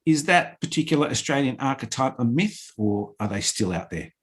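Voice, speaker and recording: A man's voice: medium pitch, lively intonation, normal speed. A man working as an interviewer, putting prepared questions in a formal, carefully phrased way.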